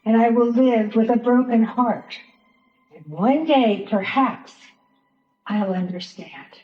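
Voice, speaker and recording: A woman playing a grieving, once-shamed follower of Jesus in a Passion drama, halting and sorrowful. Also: a little high. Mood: grieving, tender. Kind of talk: dramatic monologue in a church Passion play. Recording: echoey, slightly dull, music behind.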